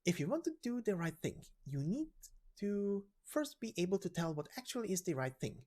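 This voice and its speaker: A man's voice: high-pitched, sing-song, a little fast, a little mellow. A man voicing a cheery, teasing, scheming young woman in a game story, breezy and sly as she lectures. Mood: lecturing.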